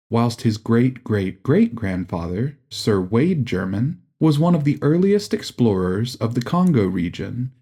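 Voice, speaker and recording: A man narrating a gothic horror audiobook in a measured, dramatic literary delivery. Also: medium pitch, dark tone.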